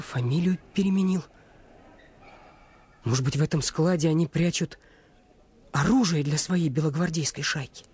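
An actor playing an earnest, determined adolescent boy sleuth in a Russian radio play, with a husky voice. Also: on the high side, darkish tone.

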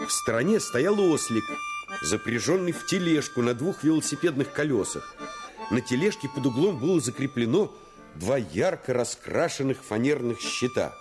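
A man playing a reflective storyteller who recalls his boyhood, narrating a radio play in measured, expressive phrases. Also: medium pitch, music in the background, natural voice. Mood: warmly recalling.

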